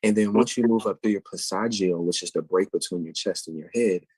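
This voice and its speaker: A man's voice: low-pitched, brisk, a little mellow, husky, dry recording, low-quality recording. A man, a singer answering interview questions and explaining vocal technique thoughtfully and at length. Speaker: guest singer.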